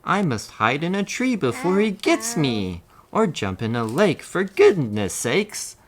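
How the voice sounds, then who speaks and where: A man's voice: fairly high pitch, big pitch swings, a little slow, pitched above the performer's own voice. A man voicing a big, apologetic, easily frightened elephant in a children's storybook. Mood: panicked.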